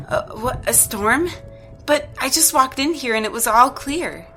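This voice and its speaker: Stranded traveller, female, acted: bright voice, sceptical, hesitant, impatient, natural audio drama delivery. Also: high-pitched, animated, unhurried, soft background music, full character voice. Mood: baffled. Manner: hesitant, doubtful.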